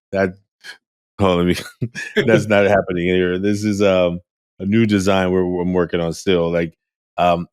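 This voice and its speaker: Loud-voiced man, low and dry. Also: clear, resonant tone, no room sound. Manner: animated storyteller, acts out quoted students. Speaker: teacher. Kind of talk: candid podcast conversation.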